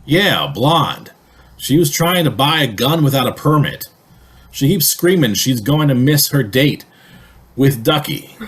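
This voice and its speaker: A man acting a gruff, bossy police sergeant in an old-time radio comedy, blunt and colloquial. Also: medium pitch, sing-song, measured pace, fairly bright tone, heavily acted. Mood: gruff, wary.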